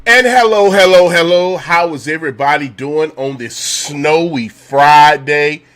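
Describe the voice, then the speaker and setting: A man with a slightly hoarse voice hosts a casual live call-in show from his home. He speaks slowly in a fairly high voice. He tends to repeat his questions for emphasis. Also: a little hiss or hum. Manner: relaxed, chatty, repeats questions for effect. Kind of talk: casual live-stream talk.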